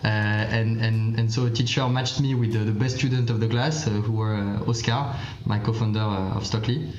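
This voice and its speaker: A young French founder in his late twenties speaks English with a French accent, pausing often on fillers and restarting his sentences. His voice sits low and stays flat. The sound is echoey and a little dull, with faint ambience behind him. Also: slight background noise. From France. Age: 20s. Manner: hesitant, many fillers and restarts. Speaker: startup founder and guest. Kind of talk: unscripted interview answers.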